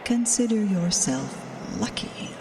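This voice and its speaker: A stern, weary wind spirit, sounds far from the mic over clear noise. She speaks very slowly in terse, breathy, commanding phrases, like an elemental being in a radio play. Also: mid-range voice, animated. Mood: brooding, proud. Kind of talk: audio drama acting. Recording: distant voice with clear background noise.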